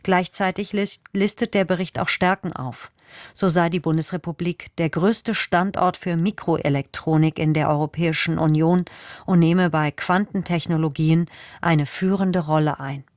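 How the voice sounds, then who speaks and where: A woman reading the news on the radio in a measured, formal way. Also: fairly low pitch, steady tone, a little mellow, dry recording, studio quality. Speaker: newsreader. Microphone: studio microphone.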